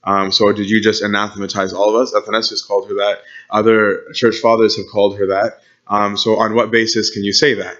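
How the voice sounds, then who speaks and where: A man's voice: on the low side, flat delivery, fairly quick, a bit bright, highs cut off. A man giving an informal church history lecture, often checking in with his listeners by asking "okay?" and "right?". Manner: conversational, frequent "okay?" and "right?" check-ins. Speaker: church teacher.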